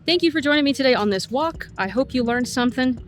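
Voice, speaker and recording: A middle-aged woman, a Spanish teacher, explaining phrases in a casual vlog lesson while walking outdoors, slowing down to model each phrase. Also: high-pitched, animated, faint music behind.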